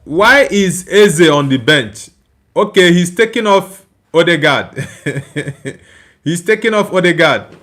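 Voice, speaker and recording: A young man from Nigeria hosts a live football watchalong. His voice sits a little high for a man. He talks in a loose, chatty way and laughs easily while reading out viewer comments. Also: animated, takes it slowly, a bit bright. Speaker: watchalong streamer. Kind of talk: casual live match reaction and chat reading.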